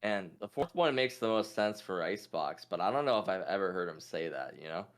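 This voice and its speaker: A man's voice: low-pitched, expressive, a little fast, clear, resonant tone. A man chatting casually as a quiz contestant, thinking aloud and hesitantly reasoning through his guesses.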